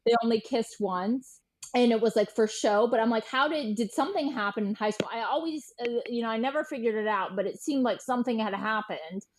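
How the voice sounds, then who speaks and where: An American woman chatting informally as an interview guest, animated and peppering her talk with "like" and quoted dialogue. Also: a little high, brisk, slight background noise, rough recording. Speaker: podcast guest, journalist and historian. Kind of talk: casual interview chat.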